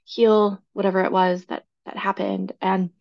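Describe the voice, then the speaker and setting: A chatty woman in her thirties hosts a casual podcast, talking with easy enthusiasm. Her voice has a gravelly fry as it recovers from laryngitis, and the recording sounds a bit dull and lo-fi. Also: mid-range voice, flat delivery, normal speed. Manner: chatty, enthusiastic about organizing. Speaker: podcast host. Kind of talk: casual solo podcast talk.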